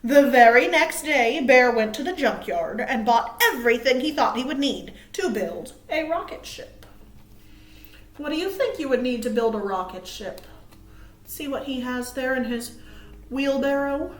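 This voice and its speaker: A woman reading aloud to small children as a storytime host, cheerful and expressive. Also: high-pitched, unhurried. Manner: cheerful, expressive, asks listeners questions. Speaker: children's librarian hosting storytime. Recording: roomy, echoey sound.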